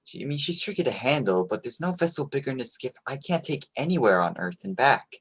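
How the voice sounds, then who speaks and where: A man's voice: medium pitch, big pitch swings, fairly quick, a bit bright, breathy edge. Man playing an earnest young sea captain. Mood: respectful, eager. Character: earnest, confident twenty-year-old New England sea captain. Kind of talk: old-time radio play acting, narrating his log.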